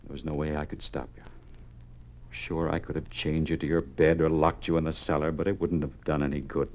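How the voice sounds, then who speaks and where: Deep-voiced, stern, worried father. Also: flat delivery, a little fast, a little mellow. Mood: grave, regretful. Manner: grave, earnest, insistent. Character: stern, worried father urging his son to courage. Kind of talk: 1950s radio drama acting.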